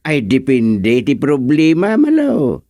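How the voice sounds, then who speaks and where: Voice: medium pitch, wildly swooping pitch, takes it slowly, mellow, no room sound, clean studio sound, full character voice. An actor playing a patient, easily amused village chairman in an Ilocano radio comedy, questioning and reacting with interjections. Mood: advising. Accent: Filipino, Ilocano.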